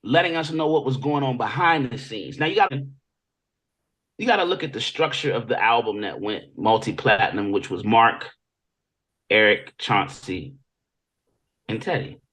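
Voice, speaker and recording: A man's voice: medium pitch, expressive, moderate pace, long pauses, a bit bright, husky, low-quality recording. Man, American accent, mid-range voice, casual interview guest, conversational storytelling, from Virginia. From Virginia Beach, Virginia, United States. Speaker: interview guest, music industry veteran.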